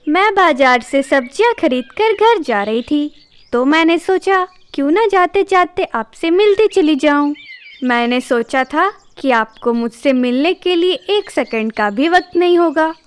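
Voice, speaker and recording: A woman's voice: high voice, lively intonation, unhurried. A woman voicing a kind, sensible young female bird in a cartoon, speaking politely. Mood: friendly. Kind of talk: cartoon voice acting.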